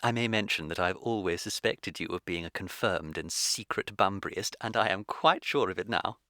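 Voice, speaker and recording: An actor playing a witty, teasing young English gentleman of leisure in a comic radio play, speaking with arch, languid precision. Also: low voice, moderate pace, no room sound, studio quality. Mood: insistent, amused.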